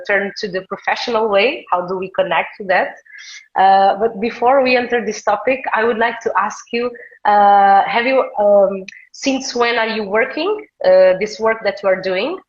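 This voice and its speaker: A woman from Portugal with a Portuguese accent, hosting a live video conversation in English, pausing often to search for words. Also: medium pitch, highs cut off. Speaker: host, tantra coach. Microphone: video call.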